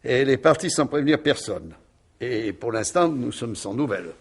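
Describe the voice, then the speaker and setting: An actor in a French radio mystery play, playing a wry, nonchalant husband who evasively shrugs off suspicion. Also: male voice, mid-range voice, animated, moderate pace, slightly rough. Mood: ironic, unbothered. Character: wry, unruffled husband suspected over his missing wife.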